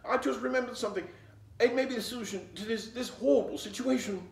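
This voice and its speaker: A man's voice: very high-pitched, fast, vocal fry, pitched above the performer's own voice. A man voicing a proud, prissy male fox in a storybook, pompous, wordy and easily indignant. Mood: frantic, pleading. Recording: roomy, echoing, distant microphone.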